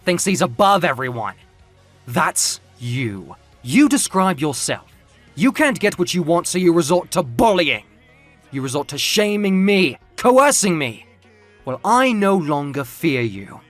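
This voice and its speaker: Man acting a scornful, high-voiced accusing man. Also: sing-song, a little slow, soft background music. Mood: angry, scornful. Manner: scolding, forceful, retorting. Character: scornful, accusing male former friend, angry and domineering. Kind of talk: audio comic book drama.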